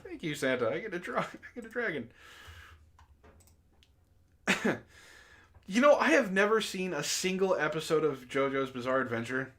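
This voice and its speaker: A man's voice: fairly high pitch, very animated, normal speed, long pauses, a bit bright. A man hosting a book club stream, reading aloud and breaking into exasperated, incredulous asides in casual jokey banter.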